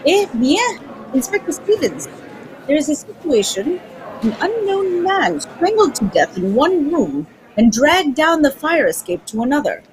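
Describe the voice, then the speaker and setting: A woman's voice: high-pitched, big pitch swings, unhurried, poor audio. A woman playing a composed, self-assured male detective, speaking precisely and theatrically in an old-time radio mystery. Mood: measured, sure.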